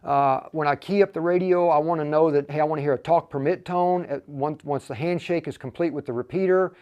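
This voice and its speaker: Man, slightly high voice, casual tutorial host, informal step-by-step delivery, thinks aloud. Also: fairly quick, darkish tone, clean tone, dull audio. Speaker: livestream host giving a radio programming tutorial.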